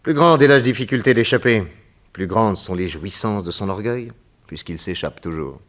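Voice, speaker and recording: An actor playing a calm, shrewd middle-aged detective in a radio drama, speaking in a measured, grave and deliberate way. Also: male voice, on the low side, close to an everyday voice. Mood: explaining. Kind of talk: 1940s radio acting.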